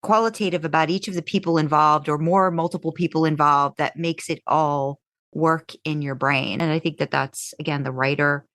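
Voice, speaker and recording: Co-host, female, low voice, dry sound; thoughtful, rambling. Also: steady tone, normal speed, fairly bright tone, no room sound. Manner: reflective, uses lots of likes. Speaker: podcast co-host. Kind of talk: casual podcast interview.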